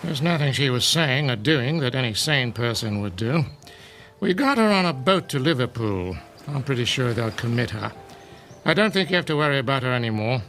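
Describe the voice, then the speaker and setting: An actor playing a matter-of-fact, reassuring constable in a radio drama. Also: male voice, medium pitch, lively intonation, moderate pace, fairly bright tone, soft background music, rough recording.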